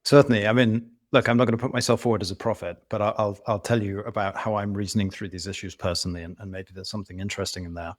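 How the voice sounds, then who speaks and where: British guy, author on an interview, earnest, talks it out slowly, kinda low voice, dead dry sound. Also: fairly even intonation, no echo. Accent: British English. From England (London). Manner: earnest, reasoning aloud at length. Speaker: author guest. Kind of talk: conversational interview.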